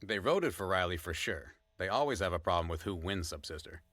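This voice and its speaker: Man acting as exasperated male co-host, blunt, indignant, audio drama, slightly low pitch, dry recording. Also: expressive, a little fast, a bit bright, no echo. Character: Sonny, fed-up, indignant male podcast co-host. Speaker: podcast co-host. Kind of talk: audio drama, conversational argument.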